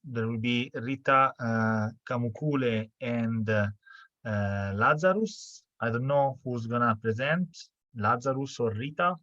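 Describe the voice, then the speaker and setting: A man's voice: medium pitch, sharp tone, dry recording. A man moderating an online hackathon session, introducing presenters and calling for questions. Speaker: event moderator. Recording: dry muffled call audio.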